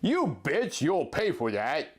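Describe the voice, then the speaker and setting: A man's voice: a little high, huge pitch swings, slow pace, voice pushed up, heavily acted. A man voicing a sneering, gloating evil double of a hero in a story reading. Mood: threatening.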